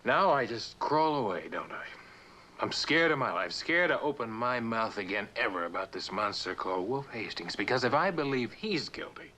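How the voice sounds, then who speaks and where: An actor playing a stubborn, suspicious professor in mid-century suspense drama, with an insistent, sardonic manner. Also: male voice, mid-range voice, animated, moderate pace, sharp tone, a little hiss or hum. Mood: bitter. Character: stubborn professor, idealistic and suspicious, confronting betrayal.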